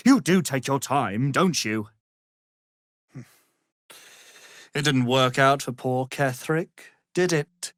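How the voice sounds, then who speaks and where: An actor playing an arrogant, power-hungry wizard in a video game, speaking in a pompous, theatrical and condescending manner. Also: male voice, medium pitch, measured pace, fairly bright tone, no echo, clean studio sound, close to an everyday voice.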